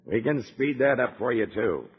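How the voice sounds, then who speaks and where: A man's voice: mid-range voice, big pitch swings, normal speed, husky, exaggerated voice. A man playing a sly, bullying kidnapper boss in an old-time Western radio serial. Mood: menacing. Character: menacing male kidnapper gang boss, threatening and sly. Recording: noisy, tinny old radio recording.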